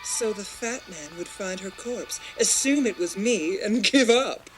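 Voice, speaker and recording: A bitter, scheming femme fatale voiced by a woman taunts in melodramatic film-noir style. She sounds like a vindictive playgirl in a radio play, with music clearly playing behind her. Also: mid-range voice, expressive, unhurried, close to an everyday voice, rough recording.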